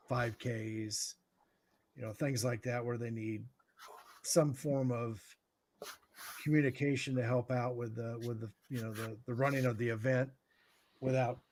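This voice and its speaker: A middle-aged American man giving informal explanations in a halting way, as if thinking aloud. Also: medium pitch, flat delivery, a little mellow, slight background noise. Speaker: guest radio expert.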